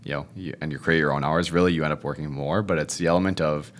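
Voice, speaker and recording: A man in his twenties whose first language is Cantonese, chatting as a podcast guest in a relaxed, rambling way and often tagging sentences with "if you will, right?". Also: very deep voice, fast talker, slight background noise. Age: 20s. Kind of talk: casual interview chat.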